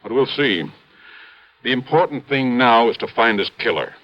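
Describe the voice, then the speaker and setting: An actor playing a grave, domineering mine boss in a 1950s radio drama, formal and emphatic. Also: male voice, medium pitch, animated, moderate pace, lightly acted. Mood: gloomy, troubled. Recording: muffled vintage radio recording.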